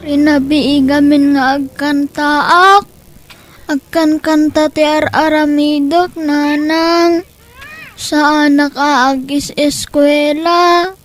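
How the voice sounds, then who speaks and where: A woman playing a worn-out, plaintive young schoolboy in an Ilocano audio drama, speaking in a drawn-out way. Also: high voice, steady tone. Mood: weak, pleading. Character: ailing, worn-out young schoolboy who sings for money. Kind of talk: Ilocano radio drama acting.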